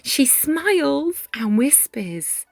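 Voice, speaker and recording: Very slow, expressive woman reading a storybook. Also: high-pitched, vocal fry, no echo, studio quality. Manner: measured, dramatic pauses, drops into hushed whispers. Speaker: children's storybook reader. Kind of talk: expressive rhyming read-aloud narration. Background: background music.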